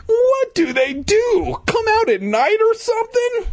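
A man voicing an excitable, nervous child in a storybook, with a whiny, exaggerated delivery. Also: very high-pitched, measured pace, gravelly, voice pushed up, full character voice. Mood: excited, demanding. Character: excitable squeaky child narrator, nervous and demanding.

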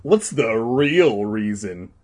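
A man as a high-voiced, impatient, grumbling schoolboy. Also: big pitch swings, normal speed, slightly rough. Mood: pressing. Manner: complaining, insistent. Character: impatient, grumbling schoolboy, the worm collector's hungry friend.

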